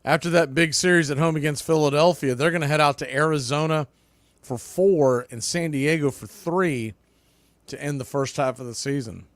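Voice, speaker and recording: A man's voice: on the high side, moderate pace, dry recording. A man giving live radio play-by-play commentary of a baseball game as the announcer.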